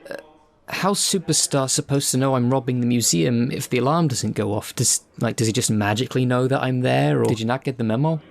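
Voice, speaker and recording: A man's voice: mid-range voice, a little fast, creaky, close to an everyday voice. A man playing an earnest, disgruntled actor who is fussy about his craft, in a conversational audio drama. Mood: hesitant, nitpicking. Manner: hesitant politeness turning to exasperated complaint.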